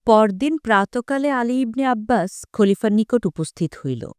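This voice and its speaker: A woman narrating a literary story for an audio drama in a formal, measured way. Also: a little high, animated, a little mellow, no echo, studio quality.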